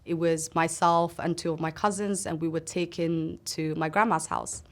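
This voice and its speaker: A woman from Somalia telling her own story in a sit-down interview, in an earnest, halting way. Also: low voice, fairly even intonation. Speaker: interview guest. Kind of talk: personal testimony in a sit-down interview.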